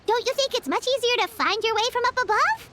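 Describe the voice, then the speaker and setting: A woman's voice: quick pace, sharp tone, slight background noise. A woman voicing a tiny, cheeky, childlike female fairy companion who talks about herself in the third person. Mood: chirpy. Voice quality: high squeaky childlike voice. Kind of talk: video game voice acting.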